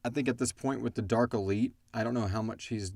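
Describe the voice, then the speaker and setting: A man's voice: medium pitch, steady tone, fast talker, creaky, darkish tone, no echo, clean studio sound. A man hosting a casual question-and-answer chat, speaking informally and thinking aloud as he goes. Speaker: podcast host and audio drama creator.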